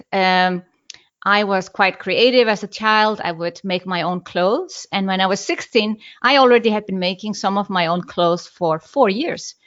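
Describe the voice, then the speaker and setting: A middle-aged woman with an Icelandic accent and a medium-pitched voice shares a personal story as an interview guest. She is deliberate and repeats phrases for emphasis. The audio is a little dull and lo-fi. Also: fairly bright tone. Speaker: interview guest, business coach.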